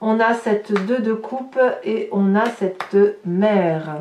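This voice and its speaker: A woman giving an informal tarot reading to viewers, in a halting way with repeated words and little tag questions. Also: mid-range voice, darkish tone. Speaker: tarot reader presenting horoscope readings. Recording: roomy echo, distant mic, faint noise.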